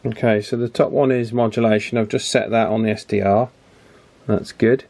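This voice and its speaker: A man giving a casual, hands-on repair demonstration, chatty and unhurried, thinking aloud as he works. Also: fairly low pitch, steady tone, gravelly, a little mellow. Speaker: radio repair video presenter. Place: indoor electronics repair bench.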